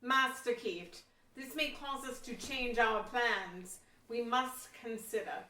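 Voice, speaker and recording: Woman acting a calm authoritative pastor, forceful tone, distant from the mic, audio play. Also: fairly high pitch, slow pace, slight background noise. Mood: measured, deliberate. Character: measured, authoritative Pilgrim pastor, a man, calm and deliberate. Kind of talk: amateur audio-drama acting. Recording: echoey room, off mic.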